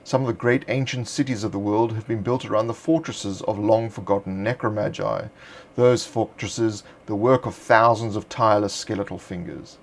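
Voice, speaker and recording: Low-voiced man reading measured narration. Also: fairly even intonation, gravelly. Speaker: narrator of a game supplement. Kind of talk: scripted narration.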